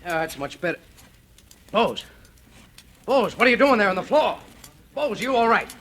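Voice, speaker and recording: A barking police chief. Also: male voice, sits high, sing-song, normal speed, fairly bright tone. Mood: urgent. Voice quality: commanding, barking orders. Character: brisk police chief bursting in. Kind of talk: 1940s radio drama acting. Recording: old radio recording with faint hiss.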